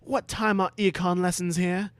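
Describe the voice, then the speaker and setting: A man's voice: high-pitched, flat delivery, normal speed, no room sound, voice pushed up, natural voice. A man voicing an earnest, pompous schoolboy who lectures with great self-assurance in a dramatic reading. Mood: bold, challenging. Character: earnest, pompous schoolboy free-market zealot.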